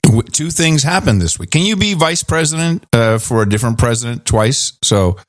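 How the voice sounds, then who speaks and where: A man's voice: medium pitch, expressive, moderate pace, no room sound, clean studio sound. A man hosting a talk show, giving opinionated, dismissive commentary in a casual, bantering style.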